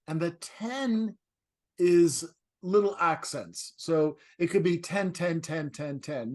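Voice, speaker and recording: Chatty man hosting a design chat. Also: fairly high pitch. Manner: chatty, halting, with frequent restarts and laughs. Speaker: host and interior designer. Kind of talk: casual video-call interview. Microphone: video call.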